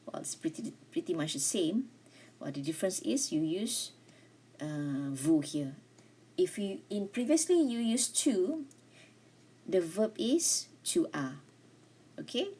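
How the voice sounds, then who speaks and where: A woman teaching beginner French over slides, speaking English with a Malaysian accent, slowly repeating and modelling each phrase. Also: deep voice, darkish tone, breathy edge. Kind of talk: recorded lecture over slides.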